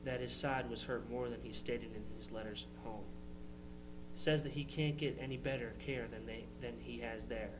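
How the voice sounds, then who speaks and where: A noisy, humming live recording of a man acting a young 1860s brother writing home. His voice sits at a middle pitch, and he reads earnestly and plainly, tripping now and then over a phrase. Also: fairly even intonation, moderate pace, lightly acted. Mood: plain, hopeful. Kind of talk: dramatized reading of historical letters.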